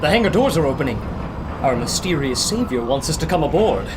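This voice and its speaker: A man's voice: on the high side, sing-song, fairly quick. A man playing an alert, tense crew member on lookout in a radio adventure serial. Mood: wary, amazed. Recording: very loud vehicle noise over voice.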